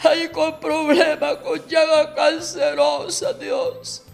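A woman's voice: sits high, expressive, slow, creaky, faint music behind. A woman preacher leading a fervent, declamatory healing prayer and speaking in tongues.

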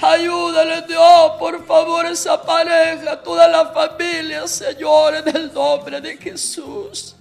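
A woman's voice: very high-pitched, level tone, slow pace, vocal fry, hardly any pauses, faint music behind. A woman preacher leading a fervent, declamatory healing prayer and speaking in tongues.

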